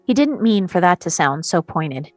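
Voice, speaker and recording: Lower-voiced woman reading screenplay directions. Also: very animated, normal speed, dry recording, soft background music. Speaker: stage directions reader. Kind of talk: scripted narration of screenplay action.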